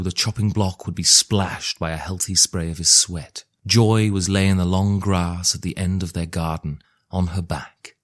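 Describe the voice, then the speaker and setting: A man narrating a fairy tale in a measured, theatrical storytelling manner. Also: low-pitched. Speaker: storyteller and author reading his own tale. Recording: music under the voice. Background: music.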